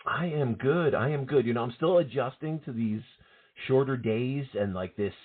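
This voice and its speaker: Man, east coast american accent, husky low voice, phone-line sound, calm spiritual radio host. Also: normal speed. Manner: calm, reflective, repeats words when thinking. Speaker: co-host, psychic medium. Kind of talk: casual spiritual radio talk. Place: at home.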